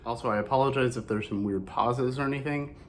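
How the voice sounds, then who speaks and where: A man with a hoarse voice, recovering from a cold, chatting casually through a makeup review vlog and often correcting himself mid-sentence. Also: mid-range voice, quick pace, a little hiss or hum. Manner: chatty and rambling, with frequent self-corrections.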